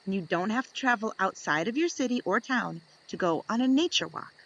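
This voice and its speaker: A woman reading aloud to young children in an expressive storytelling style, deliberate and fond of dramatic pauses. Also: mid-range voice, rough recording.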